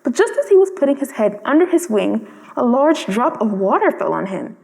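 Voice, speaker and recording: Expressive, high-voiced woman reading a storybook. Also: normal speed, vocal fry. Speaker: storybook reader. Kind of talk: expressive scripted story narration. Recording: long reverberant hall-like sound.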